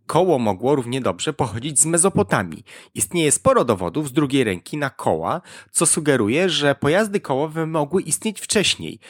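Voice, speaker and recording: Husky male podcast narrator, animated delivery. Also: medium pitch, no echo, clean studio sound. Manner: explanatory, addresses the listener directly. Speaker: podcast host. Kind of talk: scripted educational narration.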